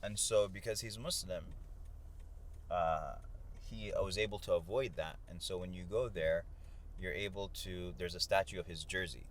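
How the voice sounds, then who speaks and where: A man's voice: deep voice, steady tone, normal speed. A man talking in a casual, conversational way while riding in a car. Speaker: co-host. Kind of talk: casual chat. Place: inside a car on the road. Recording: clear car and road noise. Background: vehicle and outdoor urban noise.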